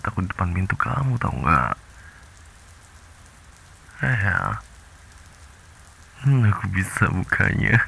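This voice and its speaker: A creepy, obsessive young man, played by a man, murmurs in a hushed, cooing way and lets out low chuckles. His very deep voice is gravelly and husky, and he drawls everything slowly. It sounds like an intimate ASMR roleplay. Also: slight background noise, heavily acted, rough recording. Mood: creepy tender. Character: obsessive young man secretly haunting your bedroom.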